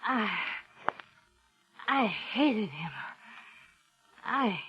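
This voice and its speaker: An anguished wife full of bitter hatred in a vintage radio thriller. Her phrases are breathless and dragged out extremely slowly. The old recording is muffled, with faint music behind. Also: on the high side, expressive. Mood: bitter, trembling confession. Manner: breathless, halting, intense. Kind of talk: 1950s radio melodrama acting.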